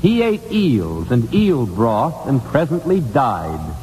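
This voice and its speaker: A man's voice: medium pitch, wildly swooping pitch, takes it slowly, warm tone, in a large hall, slight background noise, rough recording. An American man hosting a 1950s radio quiz show, putting questions to contestants on an old broadcast recording.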